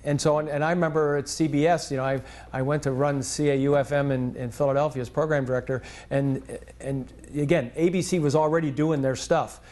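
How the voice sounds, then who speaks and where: Older American male, raised pitch, informal conference speaker sharing memories as an industry veteran. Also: steady tone, a little fast, few pauses, darkish tone.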